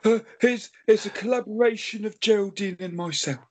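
Little elephant, husky, stammering, earnest, fussy, easily offended, comedy podcast quizmaster. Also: male voice, high-pitched, a little fast, darkish tone. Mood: eager, proud. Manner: stammering, pedantic. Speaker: quiz host on a comedy podcast.

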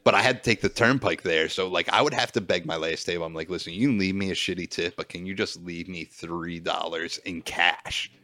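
A young man with a deep voice, chatting casually and laid-back as a podcast co-host, often saying "dude". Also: a little fast, hardly any pauses, sharp tone. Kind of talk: casual chat.

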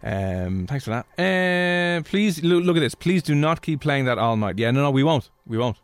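A man's voice: fairly high pitch, lively intonation, clear, resonant tone, dry recording. An Irish man co-hosting a radio show, chatting casually and teasing while he reads out listener messages. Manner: fast, joking, teasing.

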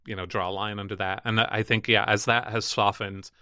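A man from Northern Ireland with a softened Northern Irish accent, chatting casually as a podcast guest and rambling in a self-deprecating way. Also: lowish voice, flat delivery, a little fast, clean tone, dry recording, clean studio sound. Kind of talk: casual chat.

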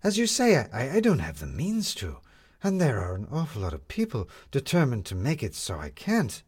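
A man voicing a wily, smooth-talking schemer in a storybook, with a wry, measured manner. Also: medium pitch, wildly swooping pitch, darkish tone, exaggerated voice. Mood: defensive. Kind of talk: storybook character dialogue.

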